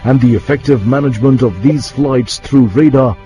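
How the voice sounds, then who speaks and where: A man's voice: medium pitch, normal speed. A man delivering scripted documentary narration, as a television narrator. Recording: loud music bed under the voice.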